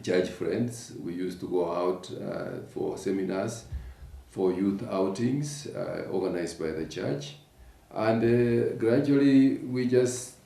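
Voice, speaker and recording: A man, slowly recalling his past as an interviewee, halting with many fillers. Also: mid-range voice, vocal fry, mellow. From Kenya. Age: 60s. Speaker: interviewee, husband. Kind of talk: relaxed personal recollection. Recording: roomy, distant from the mic.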